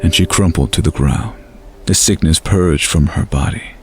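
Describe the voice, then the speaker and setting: A man narrating a scripted fantasy story in a measured, dramatic storytelling manner. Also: low voice, faint music behind.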